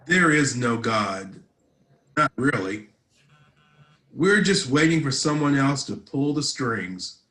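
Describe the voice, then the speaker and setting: A man's voice: mid-range voice, expressive, slow pace, long pauses, sharp tone. A man playing a troubled, blunt teenage boy in a script table read. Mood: blunt, serious. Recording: roomy echoing home recording.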